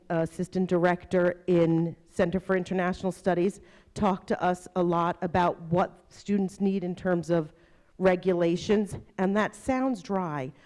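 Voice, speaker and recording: A middle-aged woman with a New Jersey accent, moderating a university workshop and speaking to a room of staff in a lively way, often tagging her points with "right?". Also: fairly low pitch. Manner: lively, keeps asking "right?".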